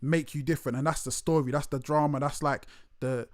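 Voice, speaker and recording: A man in his late thirties chats with a guest. He talks with loose energy, repeats and restarts his words, and his voice sits slightly high. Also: brisk, dry recording, studio quality. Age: late 30s. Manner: animated, repeats words, lots of slang fillers. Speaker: interviewer. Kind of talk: casual interview chat.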